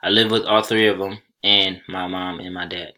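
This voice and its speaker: A young man from Senegal whose first language is Soninke, giving a college class presentation over slides in a plain, unhurried way with small restarts. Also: sits low, level tone, sharp tone. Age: young adult. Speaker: college student.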